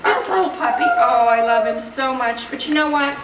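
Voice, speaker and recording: Woman as a high, perky animal puppet. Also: lively intonation, moderate pace. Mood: affectionate. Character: perky, chatty female animal puppet, a pig or cat. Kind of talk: live children's puppet show. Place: indoor room before an audience of children. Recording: echoey, distant, very noisy room.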